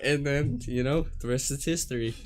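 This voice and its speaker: A man from Utah, a podcast co-host, telling a crude story in a deadpan way that breaks into laughs. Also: medium pitch, moderate pace, slight background noise. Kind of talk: casual storytelling.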